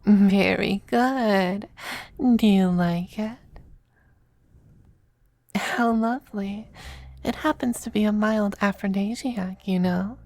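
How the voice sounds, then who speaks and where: A woman playing a possessive, doting, androgynous male demon lover in an intimate ASMR roleplay, speaking softly and often slipping into whispers. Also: medium pitch, steady tone, no echo. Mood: teasing, tender. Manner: soft, breathy, unhurried, often dropping to whispers. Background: faint music.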